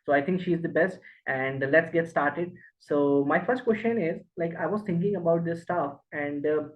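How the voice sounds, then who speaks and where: A man from India hosting a podcast interview, speaking haltingly with many fillers and restarts. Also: on the high side. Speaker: podcast host. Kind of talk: interview podcast over a call. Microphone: video call. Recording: tinny phone-like call audio.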